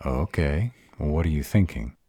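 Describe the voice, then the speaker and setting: A man playing an arrogant, protective vampire lover in a roleplay audio drama, husky and deliberate. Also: animated, dark tone, no echo, studio quality, exaggerated voice. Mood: puzzled, serious. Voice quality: husky, very deep voice. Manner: haughty, deliberate delivery.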